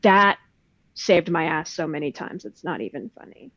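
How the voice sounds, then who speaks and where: A woman's voice: low-pitched, lively intonation, moderate pace, fairly bright tone, no room sound, lo-fi sound. A woman in her thirties chatting casually and candidly as a guest, with a self-deprecating, salty way of talking. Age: 30s. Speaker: guest voice actor. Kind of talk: casual chat. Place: indoors, on a video call.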